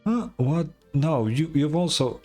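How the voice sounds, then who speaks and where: A man's voice: on the high side, animated, unhurried, mellow, soft background music, voice pushed up. Man playing a polite, flustered townsperson. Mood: startled. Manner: hesitant, polite, questioning. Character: polite, slightly flustered townsperson, friendly club member. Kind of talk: game dialogue read in character.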